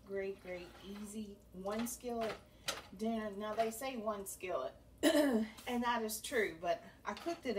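Slow-talking homey woman cooking. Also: mid-range voice. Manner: chatty and homey, talks while stirring pots. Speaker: cooking show host. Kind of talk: home cooking demonstration. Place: home kitchen. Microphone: camera a few feet away. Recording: slightly distant camera mic, faint room noise.

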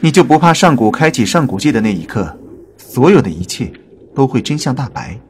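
A man's voice: medium pitch, big pitch swings, brisk, slight background noise, natural voice. A man playing a proud, sardonic and ruthless god in a dramatic audio drama, scornful and challenging. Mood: mocking, bitter.

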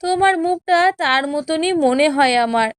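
A woman voicing a meek, sorrowful elder wife in a children's cartoon, pleading gently and submissively. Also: sits high, lively intonation, normal speed, no echo, clean studio sound. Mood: sad, pleading. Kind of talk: children's cartoon story dialogue.

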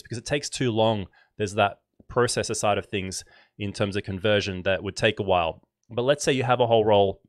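A man's voice: on the low side, no echo. A man in his thirties with a slightly nasal voice, casually explaining a demo as host of a live tutorial stream, speaking close into a dynamic microphone. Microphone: dynamic microphone on a stand.

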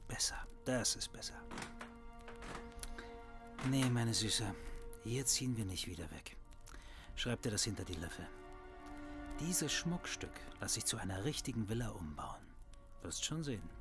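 A man's voice: fairly low pitch, animated, measured pace, pauses often, music in the background. An actor playing a middle-aged, irritable, sarcastic father in a horror radio play, with a blustering, scolding manner. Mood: grumbling, smug. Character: gruff, sarcastic, irritable father who drinks. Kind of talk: German horror audio drama acting.

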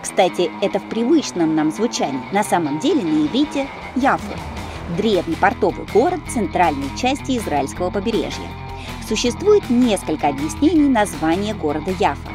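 Expressive woman narrating over music. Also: medium pitch, normal speed. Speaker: channel host and narrator. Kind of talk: scripted travel narration.

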